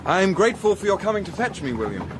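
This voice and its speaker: Courteous young gentleman, noisy background. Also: sits high, big pitch swings, quick pace. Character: polite, smooth-talking young Victorian scholar, a man. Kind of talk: radio drama acting.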